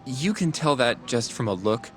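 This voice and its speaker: A man's voice: mid-range voice, expressive, moderate pace, fairly bright tone, faint music behind, natural voice. Mild, earnest young colleague played by a man. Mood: curious, helpful. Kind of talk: video game voice acting, conversational.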